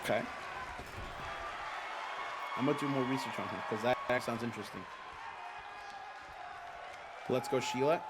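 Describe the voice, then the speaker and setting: A man whose first language is Spanish, hosting a live stream and commentating a simulated wrestling game in an excitable, chatty way. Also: medium pitch, normal speed, long pauses, a loud crowd. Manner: excitable, chatty, reads viewer comments aloud. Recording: noisy background.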